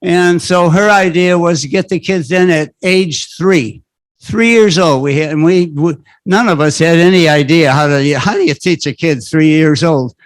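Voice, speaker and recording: A man's voice: a little high, moderate pace, dry recording. An older man, a retired school principal, speaking at a community panel on a handheld mic, rambling with frequent restarts. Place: library event room. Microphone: handheld microphone.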